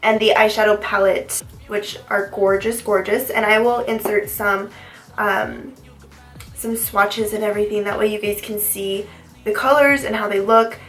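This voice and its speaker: A young woman chatting through a makeup tutorial with a head cold, sniffly and a little raspy, rambling and repeating herself. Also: mid-range voice, steady tone, moderate pace, fairly bright tone, soft background music. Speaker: beauty vlogger. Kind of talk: casual makeup tutorial chat.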